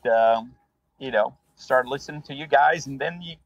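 A man from Brazil with a Brazilian Portuguese accent, a rideshare driver telling his story in a relaxed, rambling way with many fillers. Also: medium pitch, normal speed, vocal fry, highs cut off. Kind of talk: casual interview storytelling.

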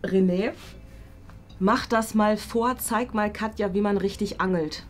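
A woman's voice: medium pitch, a little slow. A woman, giving short directing instructions to performers. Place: home gaming room at a desk. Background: background music.

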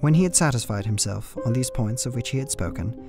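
A man's voice: mid-range voice, lively intonation, quick pace, creaky. An actor playing an earnest, uneasy young English solicitor, narrating his journal formally in a radio play. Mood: dutiful, uneasy. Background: plucked guitar music.

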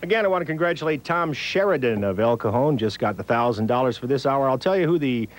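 A man's voice: medium pitch, expressive, quick pace, music in the background. A middle-aged American man working as a radio DJ, talking in a casual, wry, easygoing patter. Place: small radio studio. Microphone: large studio mic on a boom arm.

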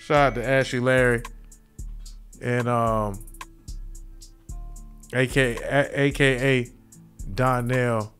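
A man's voice: mid-range voice, level tone, slow pace, long pauses, fairly bright tone, faint music behind. A man in his thirties hosting a podcast from a small home studio, laid-back and chuckling at his own jokes. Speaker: podcast host. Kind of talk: casual commentary. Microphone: studio mic with headphones.

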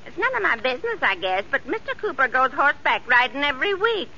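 Housemaid: inquisitive, high, very bright, muffled old radio sitcom. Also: female voice, very animated, fast, exaggerated voice. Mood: curious. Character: plain-spoken, curious housemaid Katie. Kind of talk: 1940s radio sitcom acting. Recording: muffled, noisy old radio recording, studio audience.

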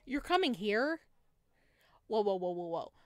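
A woman's voice: mid-range voice, very animated, measured pace, no room sound. A woman streamer reading a visual novel aloud expressively and dramatically, adding her own commentary, close to the microphone.

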